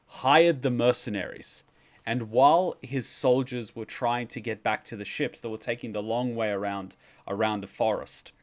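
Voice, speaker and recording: A man hosting a reaction stream, giving casual explanatory commentary. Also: medium pitch. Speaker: host of a game lore reaction stream.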